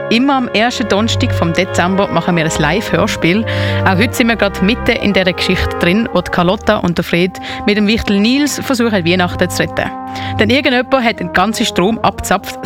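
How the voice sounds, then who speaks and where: Swiss radio host over music. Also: medium pitch. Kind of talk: live radio presenting. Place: radio studio.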